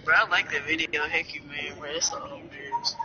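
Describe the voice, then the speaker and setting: A man's voice: on the high side, wildly swooping pitch, fairly quick, a crowd in the background. A man watching a friend's gameplay and ribbing them in teasing, slangy banter. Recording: dull lo-fi sound with loud hiss.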